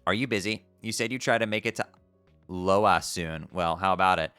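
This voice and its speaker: A man voicing a mopey, self-pitying teenage troll boy who talks low and sulky. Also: normal speed, sharp tone, smooth, dry recording, soft background music.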